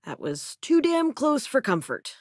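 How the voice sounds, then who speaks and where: A woman's voice: high-pitched, huge pitch swings, unhurried, no room sound, clean studio sound, heavily acted. A woman voicing a sardonic, self-assured young female crewmate and researcher in a video game, with a casual, wry delivery. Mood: wry, relaxed.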